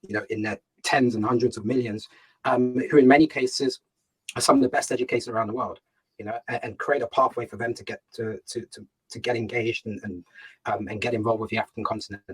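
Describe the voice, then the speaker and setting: Man, Ga, founder guest on a video call; husky, earnest, repetitive, emphatic. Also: medium pitch, steady tone, fast, rough recording. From United Kingdom. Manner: earnest, emphatic, repeats words, asks himself questions. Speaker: startup founder and CEO, guest. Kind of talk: fireside chat on a video call.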